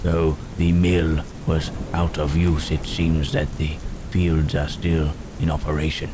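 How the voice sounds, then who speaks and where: A melancholy, protective monster voiced by a man speaks in an extremely deep, subdued voice for an ASMR roleplay. The delivery is slow and halting, with thoughtful, old-fashioned phrasing and very little melodic movement. Steady rain and thunder sound behind it. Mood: protective, cautious. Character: gentle, melancholy male Frankenstein's monster, protective and hesitant. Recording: rain and thunderstorm noise throughout.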